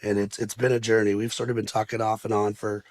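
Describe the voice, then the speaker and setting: A man hosting a podcast, asking questions in a loose, halting way with many fillers. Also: on the low side, level tone, darkish tone, dry recording. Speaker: podcast host. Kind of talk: interview questions.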